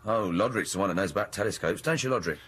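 An actor playing a plain-spoken, puzzled male communications officer in a science-fiction radio drama, with a husky voice. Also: fairly low pitch, lively intonation, quick pace, fairly bright tone.

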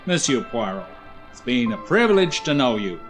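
A man plays a courteous gentleman impostor in a 1940s radio drama. His pitch sits in the middle, his phrasing is formal and polite, and faint music is in the recording. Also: sing-song, moderate pace, lightly acted. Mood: polite, relieved. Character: polite, formal male impostor posing as wealthy expert.

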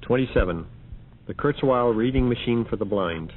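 A man reading scripted announcements for an audio demonstration in a measured, matter-of-fact way. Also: medium pitch, a little hiss or hum. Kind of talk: scripted announcing of numbered examples. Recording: muffled, phone-like archival recording.

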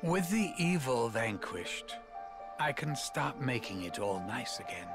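A man's voice: medium pitch, very animated, unhurried. An actor playing an irritable, whimsical, all-powerful older man in a game, musing theatrically and with condescension. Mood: musing, exasperated. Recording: loud music behind.

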